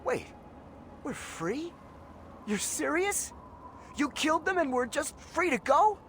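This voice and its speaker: A high, animated voice of a timid captive in a video game. The slave sounds earnest, grateful and astonished, with faint noise behind. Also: male voice, takes it slowly, heavily acted. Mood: amazed, grateful. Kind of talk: video game character dialogue.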